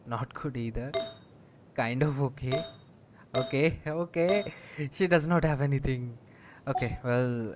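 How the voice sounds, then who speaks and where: A man's voice: mid-range voice, very noisy. A man giving casual gameplay commentary as a streamer, thinking aloud in a rambling, hesitant way. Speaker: gaming streamer. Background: loud game music.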